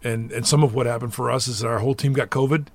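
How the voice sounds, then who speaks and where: A middle-aged man, a college basketball head coach, answering interview questions in a thoughtful, measured way with frequent restarts. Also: mid-range voice, steady tone.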